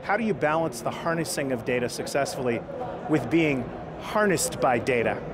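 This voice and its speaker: A middle-aged man co-hosting an interview, asking deliberate, probing questions. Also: medium pitch, animated. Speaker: co-host. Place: convention hall set. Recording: trade show noise behind.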